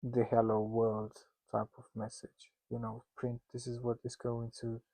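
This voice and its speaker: A man's voice: mid-range voice, monotone, gravelly, mellow, no room sound, low-quality recording. A man with a Russian accent giving a casual spoken lesson to founders. He jokes and mocks himself in loose asides, and he often stops and restarts his sentences. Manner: joking and self-mocking, with loose asides; halting, with many restarts and fillers. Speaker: instructor teaching founders.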